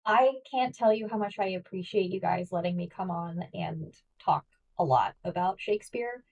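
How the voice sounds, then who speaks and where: A woman's voice: on the low side, creaky, darkish tone, recorded from a distance, lo-fi sound. Chatty enthusiastic woman, off-mic. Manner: enthusiastic, chatty, quick asides. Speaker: guest host. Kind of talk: casual podcast chat.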